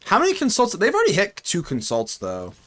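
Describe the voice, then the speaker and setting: Animated young man streaming a card game. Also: high voice, brisk. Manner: animated, talks to himself and chat, jokey. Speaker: gaming streamer. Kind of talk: live gameplay commentary.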